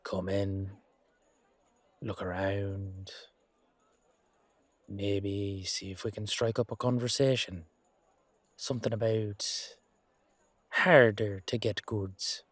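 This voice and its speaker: A weary, humble knight, played by a man in a fantasy audio drama. He speaks in a low, unhurried voice with wry, casual hesitation. The recording is close and dry. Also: no room sound. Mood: planning, conspiratorial. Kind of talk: amateur fantasy audio roleplay acting.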